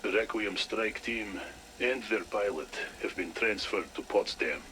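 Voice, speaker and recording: An actor playing a dutiful Soviet military subordinate, speaking deferentially and hesitantly in a game audio log. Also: male voice, fairly low pitch, a little slow, bright, forceful tone. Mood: deferential, earnest. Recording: noisy radio-style audio log.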